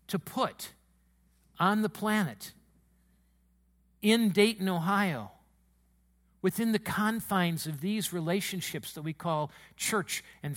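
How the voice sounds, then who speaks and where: A man preaching a sermon to his church congregation, with a booming voice and an emotional, excitable delivery. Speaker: pastor. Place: church sanctuary.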